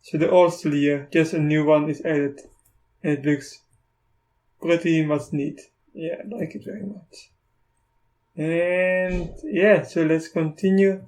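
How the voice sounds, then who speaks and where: Halting South African man, flat voice. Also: on the high side. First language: Afrikaans. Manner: halting, restarts often, thinks aloud. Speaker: tech YouTuber. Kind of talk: casual screen-recorded software walkthrough.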